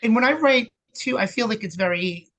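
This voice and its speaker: A man's voice: high-pitched, lively intonation, brisk, fairly bright tone. A man, a podcast guest who acts and directs, explaining things casually in an earnest, emphatic way.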